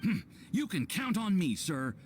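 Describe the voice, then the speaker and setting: An actor playing an earnest, deferential young research assistant in a video game, keen to please. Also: male voice, high voice, sing-song, a little slow, slight background noise. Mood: eager, earnest. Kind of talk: animated video game acting.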